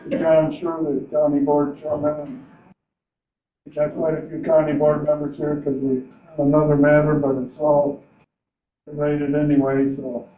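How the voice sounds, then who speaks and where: A man's voice: a little high, completely flat delivery, measured pace, dark tone. An older man chairing a county committee meeting, speaking plainly across a conference table. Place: meeting room, indoors. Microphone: room mic from across the table. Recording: echoey room, voice distant from mic.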